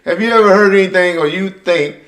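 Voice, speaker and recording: A man's voice: high voice, a little fast, a bit bright. An American man hosting a casual interview, probing and asking for clarity. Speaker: podcast host.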